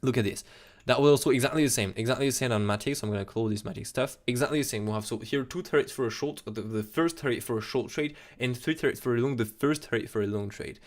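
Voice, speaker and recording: A young man with a French accent, talking casually on a live stream about trading charts, excitable and full of restarts, recorded at a desk mic in a small room. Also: medium pitch, fast talker, few pauses. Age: young adult. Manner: excitable, repeats "absolutely insane", many restarts. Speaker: crypto chart analyst streamer.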